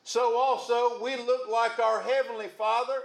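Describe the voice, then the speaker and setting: A man preaching a sermon in a booming voice, folksy and calling out to the congregation. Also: level tone, unhurried, gravelly, reverberant, low-quality recording. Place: church.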